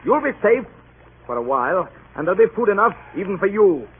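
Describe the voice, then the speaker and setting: An actor playing a stern, resolute sea captain with a clipped, dryly ironic, commanding manner, in old-time radio drama style. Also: male voice, sits high, big pitch swings, brisk, breathy edge, exaggerated voice. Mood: cold, resolute. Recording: tinny band-limited old radio recording, faint noise.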